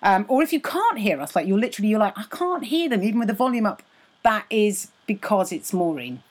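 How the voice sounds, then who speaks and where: A woman's voice: mid-range voice, sing-song, brisk. A woman comedian co-hosting a podcast, tired and self-deprecating, talking in a rambling way with restarts and laughs, recorded on a laptop without a microphone. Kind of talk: casual podcast banter.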